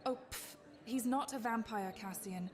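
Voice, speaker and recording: Casting: actress as inquisitive young Roman woman, very high voice, forthright and eager; fantasy drama with music. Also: unhurried, lightly acted. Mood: dismissive, curious. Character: bold, curious, dismissive young Roman woman. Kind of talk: fantasy audio drama acting.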